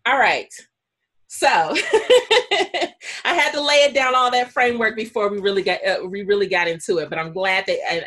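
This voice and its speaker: A woman's voice: medium pitch, a bit bright. A woman moderating an online panel, speaking informally and chattily as she introduces the event and adds asides. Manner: chatty, housekeeping announcements with asides. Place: online video call.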